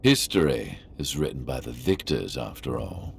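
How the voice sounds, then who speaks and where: Game villain casting: smug, menacing arms dealer, low voice that swings widely, drawling and theatrical, music underneath. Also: male voice, sing-song, moderate pace, vocal fry, heavily acted. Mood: ominous, smug. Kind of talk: video game cutscene acting. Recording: music behind the voice.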